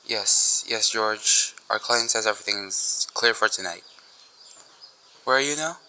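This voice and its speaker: A man playing a businesslike, formal telephone voice in a radio thriller, speaking in a clipped, measured way. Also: lowish voice, bright, forceful tone. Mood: coolly conspiratorial. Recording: faint noise with clicks.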